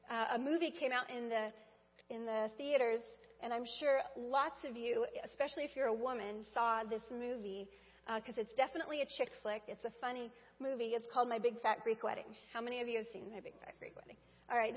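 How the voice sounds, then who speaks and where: A woman's voice: a little high, fairly quick. A woman preaching a Mother's Day sermon in a church, talking conversationally and speaking straight to the congregation. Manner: conversational, addresses congregation directly. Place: church sanctuary. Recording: dull sound with long hall reverb.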